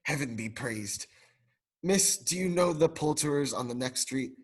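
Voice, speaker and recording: A man's voice: medium pitch, lively intonation, unhurried, husky. A male drama student playing an elderly reformed miser, joyful and repentant, in a radio play. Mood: giddy, excited.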